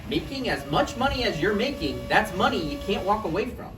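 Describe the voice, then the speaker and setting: A woman's voice: medium pitch, very animated, a little fast, music in the background, natural voice. A woman playing an insecure, wounded boyfriend who needles and pleads in a live table read of a relationship play. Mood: needling. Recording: echoey, noisy room, distant mic.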